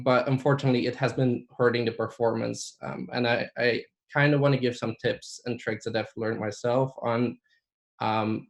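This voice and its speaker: A man's voice: medium pitch, creaky. Belgian man giving a casual tech talk. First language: Dutch. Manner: frequent ums and likes, casual. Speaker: conference speaker. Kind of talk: conference talk.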